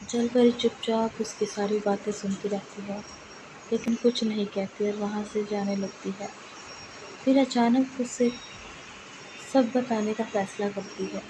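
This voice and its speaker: A woman's voice: medium pitch, noisy background. A woman narrating a scripted children's bedtime story as a storyteller.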